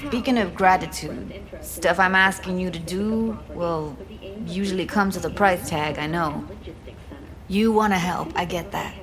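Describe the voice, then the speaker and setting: A woman's voice: lowish voice, measured pace, a crowd in the background, close to an everyday voice. An actress playing an earnest, caring young woman in a video game, talking in an informal, slangy way. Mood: hesitant, earnest. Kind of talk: video game cutscene acting. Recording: music behind the voice.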